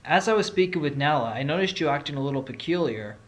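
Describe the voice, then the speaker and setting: A man's voice: fairly high pitch, animated, fast, lightly acted. A man playing a confident fox defence lawyer with an assertive, probing courtroom manner in an audio drama.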